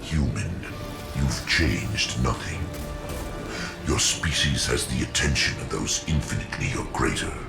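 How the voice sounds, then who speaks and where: A man voicing a vast, ancient alien overlord who speaks in slow, scornful pronouncements, with a deep, gravelly, booming voice. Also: over loud music, full character voice. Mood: contemptuous. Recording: echoey with loud score behind.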